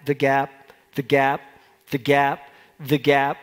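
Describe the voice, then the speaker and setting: A man's voice: mid-range voice, completely flat delivery, takes it slowly. A man preaching as a pastor in an informal, conversational style, repeating phrases for emphasis and putting questions to his listeners. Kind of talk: conversational sermon. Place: large church hall with a congregation. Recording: long hall reverb.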